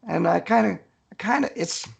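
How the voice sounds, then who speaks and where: Man, heavy creak, rough husky voice, slightly high pitch, folksy casual storyteller. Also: moderate pace. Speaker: guest author.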